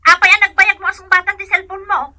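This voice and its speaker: A woman's voice: very high voice, fairly even intonation, fast, very noisy. A woman acting in a comedic radio drama as a bossy, impatient schoolteacher wife, nagging and scolding.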